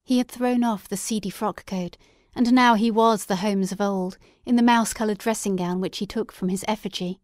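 A woman's voice: medium pitch, steady tone, moderate pace, a little mellow, no room sound, clean studio sound. A woman reading scripted literary narration as an audiobook narrator.

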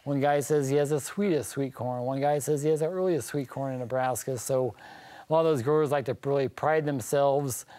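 A middle-aged American man gives explanations as an interview guest. His voice is soft-edged and mellow, at a medium pitch. The studio sound is very dry. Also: brisk, no echo. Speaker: agriculture department guest. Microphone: lapel mic.

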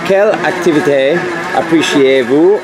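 A male interviewer, a native English speaker, asking simple questions haltingly and carefully with an English-speaking accent. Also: a little high, poor audio. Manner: halting, careful delivery in learner's French. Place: outdoors at a race festival, off camera. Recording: outdoor event with loud crowd bustle.

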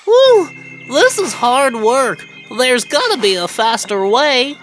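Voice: high-pitched, big pitch swings, slow pace, exaggerated voice. A performer voicing an innocent, carefree newly hatched baby turtle in a children's cartoon, naive and chirpy. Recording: loud background music.

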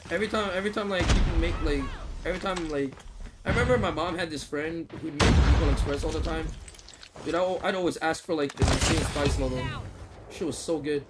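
A young man with a fairly high voice talks in a relaxed, rambling way while he plays, answering chat between rounds. Game sounds and clicks stay clearly audible behind his headset mic. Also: brisk. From Philippines. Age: young adult. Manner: laid-back, rambling, reads chat aloud, swears casually. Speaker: mobile game streamer. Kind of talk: casual livestream chat while playing. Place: small room indoors. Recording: game audio noise behind voice.

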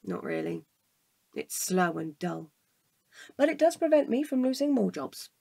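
A woman acting a weary, cautious night-watch guard in a naturalistic audio drama, with a husky, measured, deadpan delivery. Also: a little high, no echo, heavily acted. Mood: glum.

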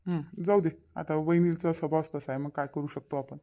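A woman's voice: low-pitched, fairly even intonation, brisk, mellow. A woman voicing an easygoing village husband and obliging brother in a Marathi cartoon, with a Varhadi accent, placid replies and muffled sound. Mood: placid, reassuring. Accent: Varhadi Marathi from Vidarbha. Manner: placid, reassuring, brief replies. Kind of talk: Marathi cartoon family-drama dialogue.

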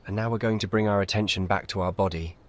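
A man guides a meditation as scripted narration, speaking calmly in measured, soothing, short and gentle instructions. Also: on the low side.

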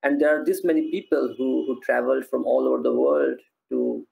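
Gravelly-voiced man asking a rambling question. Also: medium pitch, steady tone, a little fast, darkish tone, low-quality recording. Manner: hesitant, rambling question with fillers. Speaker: interviewer, host. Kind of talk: interview question. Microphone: video call webcam.

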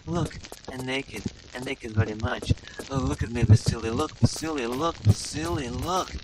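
A man's voice: medium pitch, moderate pace, heavy background noise, lightly acted. A man voicing a lewd, brazen woman adventurer in broken English, improvising over gameplay. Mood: frantic. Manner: breathy, leering, broken English.

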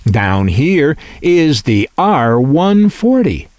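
A man presenting and reading catalog copy aloud as scripted narration, in a deliberate, itemized way. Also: on the high side, sing-song, a little mellow, no echo, studio quality.

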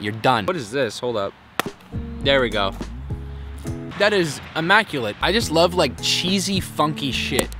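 A man's voice: mid-range voice, a bit bright. A young man vlogging to camera in a city park, talking bluntly and swearing a lot, mocking himself as he goes. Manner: crude, blunt, self-mocking, heavy swearing. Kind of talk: casual vlog talk to camera.

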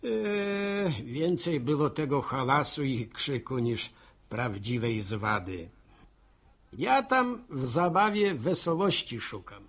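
A folksy village storyteller in a radio play. He recounts things genially at a slow pace, in a medium-pitched voice. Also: animated, a bit bright, breathy edge, exaggerated voice. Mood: wry, reflective. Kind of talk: radio drama monologue, storytelling.

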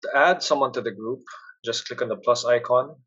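A man presents a step-by-step software walkthrough in a plain, instructional way. His voice is a little husky and sits at a medium pitch. The recording is somewhat dull and muffled. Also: brisk. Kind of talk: step-by-step screencast narration.

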